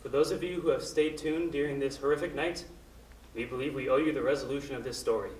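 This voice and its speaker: A man's voice: mid-range voice, fairly even intonation, fast talker, natural voice. A man in a student radio play acting an earnest, urgent radio station host. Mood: solemn. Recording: echoey distant room, noisy ambience.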